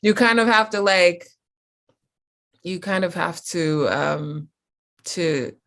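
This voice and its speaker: A woman's voice: lowish voice, slow pace, fairly bright tone. An American woman hosting a casual interview, rambling questions filled with "like" and "um". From United States. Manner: rambling, heavy use of "like". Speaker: interviewer host.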